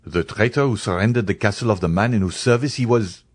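A man's voice: fairly low pitch, quick pace, lightly acted. Man reading as a proud, impatient young nobleman. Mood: shocked, indignant. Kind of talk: dramatised audiobook reading.

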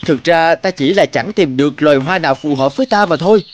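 A man voicing a vain, picky male butterfly in a cartoon, boastful and pompous with theatrical flattery. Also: on the high side, brisk, muffled recording, slight background noise, lightly acted. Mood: flirtatious. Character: vain, picky male butterfly bachelor seeking a flower bride. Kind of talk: cartoon character acting.

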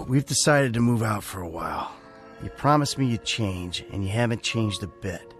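Low-voiced, sardonic middle-aged American man. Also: lively intonation, a little slow, fairly bright tone, faint music behind. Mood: somber, reading aloud. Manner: dry, sarcastic. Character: sardonic, weary middle-aged male criminal. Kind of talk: video game cutscene acting.

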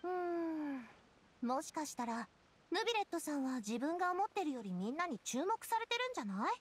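A woman's voice: high voice, sing-song, moderate pace, slight background noise. An actress playing a confident, breezy young lady in an anime-style game, casual, direct and knowing. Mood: knowing, teasing.

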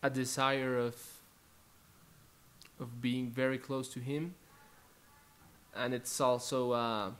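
A young man in his twenties from Colombia, Spanish-speaking by birth, with a Colombian Spanish accent, introducing worship songs at home in a soft-spoken, halting way. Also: mid-range voice, steady tone, smooth. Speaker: worship musician. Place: living room at home, indoors. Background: faint acoustic guitar.

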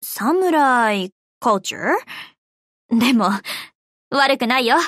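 Cool, unhurried anime warship woman. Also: fairly high pitch, expressive, a bit bright, dry recording, clean studio sound. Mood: cool, amused. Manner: measured, reserved. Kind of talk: Japanese anime game voice lines.

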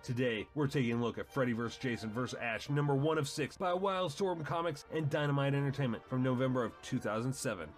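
A man hosting and narrating a comic book story as a dramatic reading. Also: medium pitch. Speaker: channel host and narrator.

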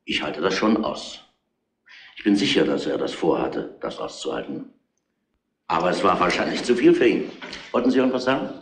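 Gruff, animated police inspector. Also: male voice, deep voice, full character voice. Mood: musing, insistent. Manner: gruff, insistent, deliberate. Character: gruff, domineering male police inspector laying out a case. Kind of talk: retro German crime radio play acting. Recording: roomy retro radio play.

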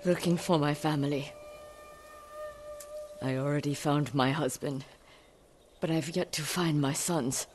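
An actress playing an older samurai noblewoman, grieving and vengeful, who speaks in a grave, measured way. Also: low-pitched, steady tone, faint music behind. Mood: sombre. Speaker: voice actor. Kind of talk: dramatic game acting.

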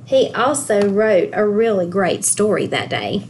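A woman's voice: medium pitch, slight background noise. A woman working as a school guidance counselor, expressively reading a picture book aloud to young children. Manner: expressive, pausing to ask the children questions.

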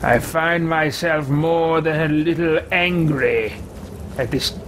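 A man's voice: on the high side, slow, noisy background. A man voicing a haughty, easily frustrated old fantasy adventurer in a video game, with pompous, archaic, theatrical speech. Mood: indignant frustration. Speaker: gaming streamer roleplaying a character. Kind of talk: in-character roleplay game commentary. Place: small room with packed shelves, indoors.

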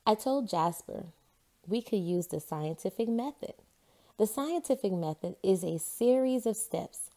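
A woman reads aloud for children as a storyteller and host. Her pitch moves in lively swings, her pace is unhurried, and her tone stays soft and mellow. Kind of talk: expressive children's book read-aloud.

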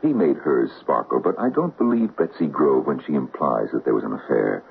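A man's voice: very deep voice, big pitch swings, moderate pace, vocal fry, narrow, tinny audio, exaggerated voice. An actor playing a thoughtful, probing middle-aged father in a 1970s radio drama. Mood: pensive. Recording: thin, noisy 1970s radio broadcast.

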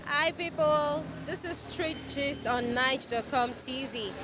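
A young Nigerian woman hosting a street interview, bubbly and excited, speaking into a handheld mic at a busy roadside. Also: high voice, unhurried, loud music behind the voice. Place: busy city roadside outdoors. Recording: outdoor street with traffic noise.

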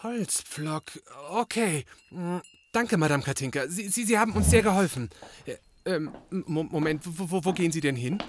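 A man's voice: on the high side, lively intonation, moderate pace, a little hiss or hum, lightly acted. An actor playing a fussy, easily flustered male detective's assistant in a German comedy audio drama, nervous and indignant. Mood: hesitant, nervous. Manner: nervous, stammering when rattled, indignant.

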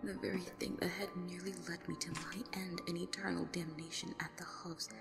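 A woman playing a haunted, grieving survivor who recounts a horror ordeal in a breathy half-whisper, as dramatic audio drama narration. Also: fairly low pitch, little pitch movement, brisk, music in the background. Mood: bitter, grim.

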